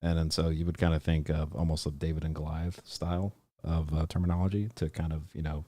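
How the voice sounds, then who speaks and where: A man's voice: extremely low pitch, little pitch movement, fairly quick, mellow, dry recording. A man hosting his own podcast livestream, chatting casually and rambling with lots of fillers and restarts. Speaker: podcast host.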